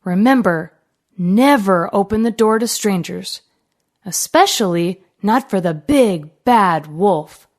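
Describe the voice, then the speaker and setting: A woman's voice: mid-range voice, expressive, slow pace. A woman voicing a caring, protective mother goat in a storybook, with a motherly, instructive way of talking. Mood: cautioning.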